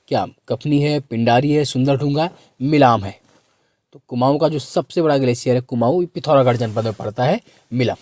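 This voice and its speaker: Hoarse man teaching an exam quiz lesson. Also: medium pitch, darkish tone, no echo. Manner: repeats questions and prompts listeners to answer. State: hoarse, sore throat. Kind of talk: quiz lesson with questions and answers for exam students.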